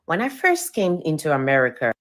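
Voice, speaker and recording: A woman's voice: deep voice, wildly swooping pitch, brisk, fairly bright tone. A woman from Sierra Leone with a thick Sierra Leonean accent, frankly and exasperatedly telling about her experiences. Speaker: video clip speaker. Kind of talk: personal testimony.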